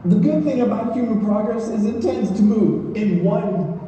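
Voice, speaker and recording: Slow, mellow man reading in echoing theatre. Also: high-pitched. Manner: earnest, deliberate, emphatic. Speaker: stage performer. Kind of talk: dramatic staged reading of prose. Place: theatre stage. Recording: echoey theatre hall, distant mic, noise.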